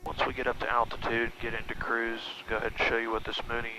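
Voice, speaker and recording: Male pilot-vlogger, bright forceful voice, casual narration, dulled headset audio with some engine noise. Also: mid-range voice, monotone, fast, low-quality recording. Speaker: private pilot and video host. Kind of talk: casual vlog narration and radio calls. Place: small plane cockpit in flight. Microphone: aviation headset. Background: aircraft engine drone.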